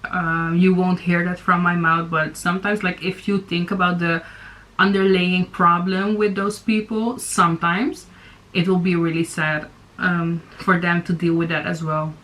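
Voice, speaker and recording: A woman's voice: fairly low pitch, little pitch movement, measured pace, fairly bright tone, poor audio. Hesitant young woman reacting on camera. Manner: hesitant, many fillers and restarts. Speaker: YouTube reaction host. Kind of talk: casual reaction commentary.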